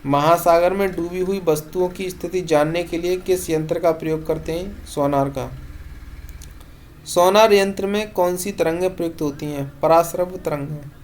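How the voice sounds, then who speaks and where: An exam-prep teacher with a mid-pitched voice. The delivery is instructional, like notes read aloud, with each question posed and then answered briefly. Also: normal speed, a little mellow, slight background noise.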